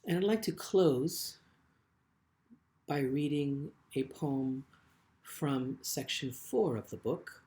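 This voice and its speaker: A man with a mid-pitched voice reads in a literary style, as a poet presenting his own work. His delivery is very slow and measured, with phrases set apart by frequent pauses. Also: expressive, darkish tone. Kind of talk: literary poetry reading with brief spoken introductions.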